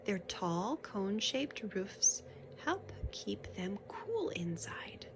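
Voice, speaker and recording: A woman's voice: mid-range voice, big pitch swings, whispered. A woman reading a picture book aloud for young children, instructive, with casual asides and questions about the pictures. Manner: instructive, pausing to point out pictures.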